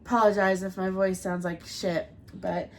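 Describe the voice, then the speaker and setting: A woman's voice: medium pitch, level tone, moderate pace, slight background noise. A young woman with a cold, reading a folk tale aloud on a podcast with small slips and restarts, then chatting casually. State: has a cold. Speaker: podcast co-host.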